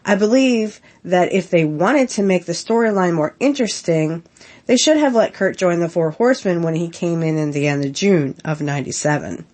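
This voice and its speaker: Soft-pitched woman with a head cold. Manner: halting, many ums, earnest opinions. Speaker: podcast host. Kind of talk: casual podcast commentary.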